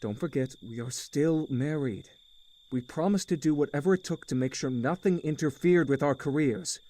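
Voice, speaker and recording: A man playing a weary, middle-aged uncle with a failing marriage, earnest and measured, in a visual novel game. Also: on the high side, dark tone, natural voice. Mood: frustrated, firm.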